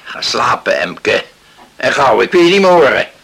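An actor in a radio play playing a stern, stubborn middle-aged father with a rough voice and a curt, deliberate, unyielding manner. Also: medium pitch, very animated, a crowd in the background. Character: stern, stubborn island father guarding his daughter.

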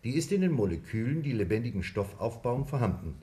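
A man acting a sober, probing doctor in a 1950s German radio play, measured and precise. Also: medium pitch, very animated, warm tone, natural voice. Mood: sceptical, probing. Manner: measured, matter-of-fact, precise. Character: sober, probing male doctor challenging a theory.

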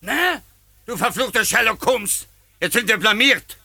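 A humiliated, blustering old investigator from a 1950s radio comedy. His voice is high, piercingly sharp and gravelly. He scolds and splutters, repeating himself in exasperation. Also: expressive, measured pace, heavily acted. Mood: furious. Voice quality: gravelly, raspy. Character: blustering, exasperated elderly examining magistrate, humiliated and abusive. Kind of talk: 1950s German radio play acting. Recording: 1950s radio drama recording.

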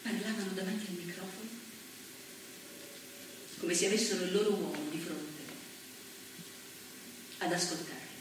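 A woman's voice: fairly low pitch, fairly even intonation, close to an everyday voice. An actress as a solemn postwar woman politician. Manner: slow, measured, solemn delivery. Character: postwar woman politician recalling her past, earnest. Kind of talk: theatrical monologue. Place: theatre stage. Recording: echoey stage from far off, faint hiss.

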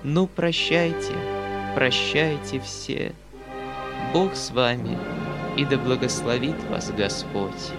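A man's voice: medium pitch, slow, loud music behind the voice. A man voicing a pompous, self-righteous, preachy male hanger-on in a theatrical audiobook manner. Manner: haughty, preachy, theatrical, repetitive. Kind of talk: dramatic audiobook character acting.

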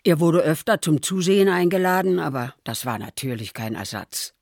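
An elderly mother in a radio play, looking back on her son in a measured, matter-of-fact way. Her low voice is gravelly and husky, recorded very dry. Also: darkish tone, dry recording. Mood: sober recollection. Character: aging mother soberly recalling her sickly, troubled son.

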